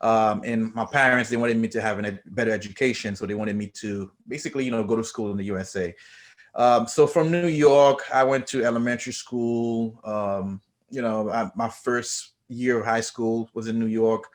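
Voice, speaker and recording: A man from Haiti speaks as a guest on a podcast and tells his story in a relaxed, unhurried way. He talks in an easy manner with frequent "um" and "you know" fillers. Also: mid-range voice. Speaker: guest chiropractor. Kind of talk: casual interview.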